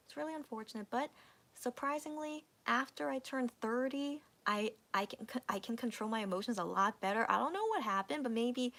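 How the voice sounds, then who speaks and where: A woman's voice: medium pitch, fairly bright tone. A woman in her thirties chatting casually with viewers on a livestream, rambling with many restarts and sometimes dropping to a whisper. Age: 30s. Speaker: livestream host.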